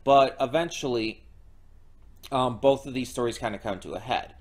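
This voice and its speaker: A young man casually recapping a show episode as a reaction host, halting with many fillers and restarts. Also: mid-range voice.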